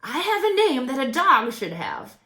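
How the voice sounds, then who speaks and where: A woman puts on a chatty little male crow for a children's storybook. The voice is raspy and high, swooping through admiring, upbeat phrases with stretched-out emphasis. The room is noticeably echoey. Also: very animated, moderate pace, pushed and bright, heavily acted. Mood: chatty, proud.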